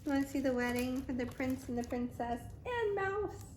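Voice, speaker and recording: A woman reading a picture book aloud as a mother to a toddler, in an expressive, dramatic storytime style. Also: sits high, normal speed, a little hiss or hum, rough recording. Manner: dramatic, child-directed.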